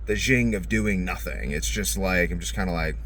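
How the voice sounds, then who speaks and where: Low-voiced man rambling in a noisy vehicle. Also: moderate pace, a bit bright. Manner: blunt, profane, joking, acts out imagined dialogue. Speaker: vlogger. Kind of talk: casual rambling vlog monologue. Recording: noticeable vehicle noise.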